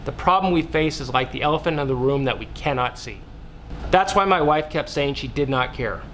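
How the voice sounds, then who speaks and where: A man's voice: medium pitch, fairly quick, fairly bright tone, a little hiss or hum, natural voice. A man playing an earnest researcher, speaking in a didactic way as in a scripted interview drama. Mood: grave, preaching. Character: earnest widowed male researcher preaching plant-based eating. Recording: music playing behind the voice.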